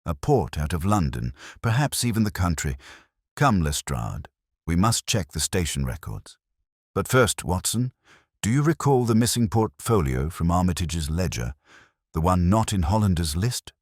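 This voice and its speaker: A man voicing a composed, brilliant Victorian detective in an audiobook, speaking in precise, formal and deliberate phrases. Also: low voice, very animated, dry recording, studio quality, voice pushed up. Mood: keen, deducing. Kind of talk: audiobook character dialogue.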